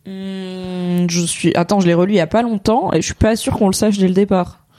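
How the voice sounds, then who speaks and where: A woman's voice: mid-range voice, little pitch movement, brisk, warm tone, no room sound, studio quality. A woman hosting a casual pop-culture chat, talking at length and explaining eagerly with lots of fillers. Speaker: podcast host.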